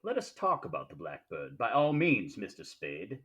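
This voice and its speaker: An actor playing a genial, cunning, courtly fat crime boss in a 1940s-style radio play, with a pompous, wordy manner. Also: male voice, on the low side, huge pitch swings, moderate pace, a bit bright. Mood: jovial, courtly. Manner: pompous, theatrical, elaborately formal.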